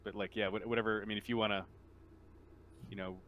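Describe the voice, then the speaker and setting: A man's voice: lowish voice, little pitch movement, fast talker, dull audio. A man speaking casually and hesitantly as game master of a tabletop roleplaying session.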